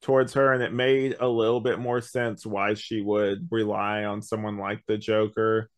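Male podcast co-host, deeper voice, loose conversational delivery, opinionated and wandering. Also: level tone, moderate pace. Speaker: podcast co-host reviewing an audio drama. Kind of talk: casual chat.

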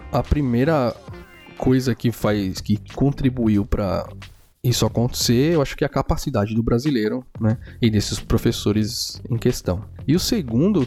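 A man's voice: mid-range voice, mellow. A man from Brazil with a Brazilian Portuguese accent, hosting a solo podcast in a chatty, rambling way and tagging sentences with "né?". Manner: chatty, rambling, frequent "né?" tags. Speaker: podcast host. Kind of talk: casual solo podcast monologue. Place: home in a small town.

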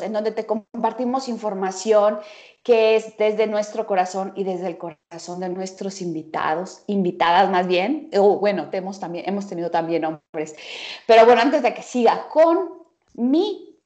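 A woman's voice: medium pitch, darkish tone. A woman co-hosting a podcast, chatting in an upbeat, welcoming way. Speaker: podcast co-host. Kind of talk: casual podcast chat.

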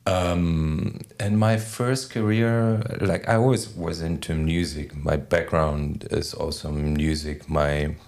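Man, forties, German accent, very low pitch, little melody, reflective interview talk, searching for words. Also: steady tone, slow pace, hardly any pauses, darkish tone. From Berlin, Germany. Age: 40s. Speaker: podcast guest, DJ.

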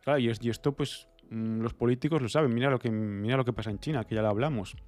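A man with an accent from Spain co-hosting a casual discussion podcast, explaining things in a halting, digressive way with some colloquial swearing. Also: mid-range voice, a little mellow, no echo. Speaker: podcast co-host.

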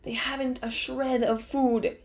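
A woman's voice: high voice, little pitch movement, unhurried. A woman voicing an earnest younger man, polite and urgent, in an audiobook story. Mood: earnest, pleading. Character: earnest younger skinny man pleading with an old healer.

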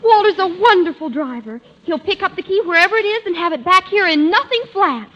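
A woman's voice: very high voice, expressive, brisk, bright, forceful tone, full character voice. An actress playing a sweet, lovestruck teenage schoolgirl in an old-time radio comedy, girlish and eager. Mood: adoring. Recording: muffled vintage radio recording.

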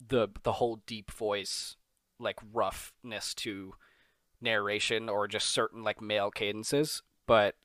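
Low-voiced man hosting a podcast. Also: steady tone, slow pace, fairly bright tone, dry recording, studio quality. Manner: rambling, enthusiastic, repeats himself. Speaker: podcast host. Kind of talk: casual podcast interview.

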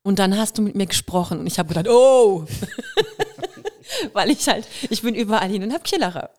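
A woman's voice: medium pitch, moderate pace. A woman from Kirrlach in Germany, an actress and singer, telling stories as a guest in a casual podcast interview, with a light hint of Kurpfalz dialect. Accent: light Kurpfalz dialect touches. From Germany, Kirrlach near Waghäusel. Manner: lively storyteller, imitates dialect sounds.

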